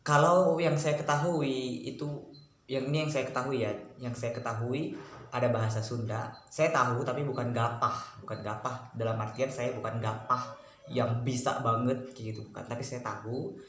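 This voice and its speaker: A man's voice: medium pitch, steady tone, normal speed, fairly bright tone. A man language tutor from North Sumatra, explaining casually and listing his points one by one, dropping in English words. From Batak, North Sumatra, Indonesia. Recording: echoey room with faint noise.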